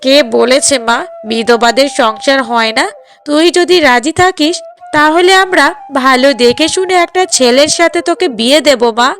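A woman's voice: high-pitched, moderate pace, a bit bright. A woman playing a middle-aged village mother in a cartoon drama, coaxing in a fretful, affectionate way. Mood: coaxing, worried.

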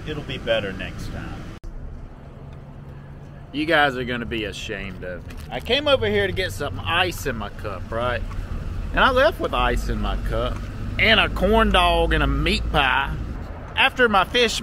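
A man's voice: fairly high pitch, moderate pace, background noise. A man in his forties, a truck driver, chatting casually to camera from his truck cab and a truck yard. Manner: chatty, animated, addresses viewers as guys. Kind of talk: casual vlog talk to camera. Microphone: handheld camera close to face.

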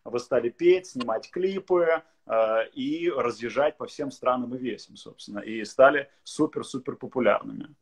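Husky-voiced man hosting a video call. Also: medium pitch. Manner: relaxed, many filler pauses, friendly laughs. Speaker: live-stream host. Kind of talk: casual interview chat. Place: indoor room.

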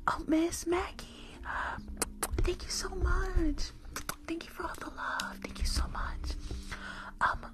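A young woman in her twenties whispers breathily and affectionately to live stream viewers, close to the microphone, often repeating words in an ASMR style. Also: extremely high pitch. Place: small room at home, indoors. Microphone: close furry-windscreen microphone.

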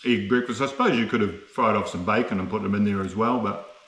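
A man's voice: on the low side, fast, fairly bright tone, slightly rough. A man narrating a casual camping and hiking vlog to camera in a chatty, understated way, often adding little tag questions. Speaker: solo hiking vlogger.

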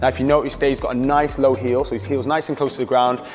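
A British man guides a workout with calm, steady coaching cues. His voice carries a long reverb from the hall, with faint music under it. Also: mid-range voice, fast. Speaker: fitness coach. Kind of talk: instructional workout voice-over.

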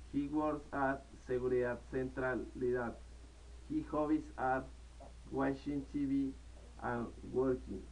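A young man from Colombia in his twenties, speaking English with a Colombian Spanish accent as a learner and reciting a self-introduction word by word with pauses. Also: medium pitch, darkish tone, distant mic, low-quality recording. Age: 20s. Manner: halting, word-by-word delivery with pauses. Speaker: student. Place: small living room at home. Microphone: camera in front of the speaker.

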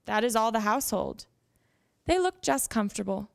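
A young woman giving a measured, formal dramatic reading of letters aloud into a handheld microphone. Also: fairly high pitch, lively intonation, gravelly, no room sound, studio quality. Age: young adult. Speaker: dramatic reader at a library event. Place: indoor meeting room with audience.

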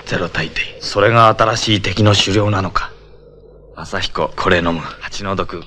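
A man's voice: low voice, moderate pace, heavy background noise, close to an everyday voice. A young man acting as a fierce, earnest jungle-raised superhero in a 1970s Japanese television action drama, intense and terse. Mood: grim, resolute. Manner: intense, terse, breaking into battle cries. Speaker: lead actor in a superhero television series. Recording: 1970s television soundtrack with music. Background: dramatic background music.

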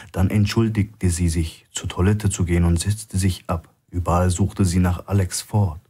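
A man's voice: low voice, mellow, breathy edge. A man narrating a thriller audiobook from a script.

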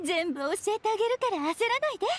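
A woman playing a playful, mysterious, self-assured witch in an anime video game, with a teasing, unhurried delivery. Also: extremely high pitch, expressive. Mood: coy teasing.

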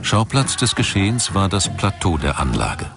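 Male documentary narrator: low, lively intonation, measured and articulate, music underneath. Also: creaky.

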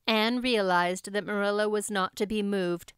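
A woman's voice: medium pitch, fairly even intonation, moderate pace, fairly bright tone, clean tone, no room sound, clean studio sound. A woman audiobook narrator reading a classic story as scripted narration.

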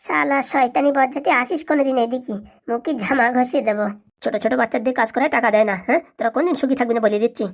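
A woman's voice: high voice, very fast. A woman voicing an indignant, threatening village uncle in a comic cartoon. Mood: furious. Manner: scolding, indignant. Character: aggrieved, vengeful village uncle who threatens and scolds.

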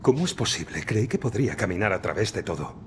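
Game role, sardonic dead cop ghost; lower register, lively swings, clear ambient noise behind. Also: male voice, fast talker. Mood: bewildered, questioning. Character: bewildered ghost of a murdered male police detective, sardonic, gruff. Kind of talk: video game cutscene acting in Spanish dub.

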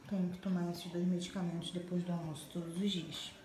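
A woman in her twenties with a Brazilian Portuguese accent, hoarse from a cold, chatting casually to the camera in a vlog and often dropping into a breathy half-whisper. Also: on the low side. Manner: relaxed, chatty, drawn-out words. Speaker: vlogger. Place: small bedroom indoors. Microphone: phone or webcam at arm's length.

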